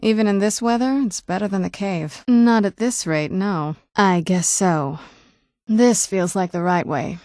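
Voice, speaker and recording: A guarded young vampire noblewoman voiced by a woman for a fantasy video game. Her mid-pitched voice is recorded close and dry, and she talks conversationally with a wry, sardonic edge. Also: unhurried, dark tone, no echo, natural voice. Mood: earnest, guarded. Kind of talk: video game character dialogue.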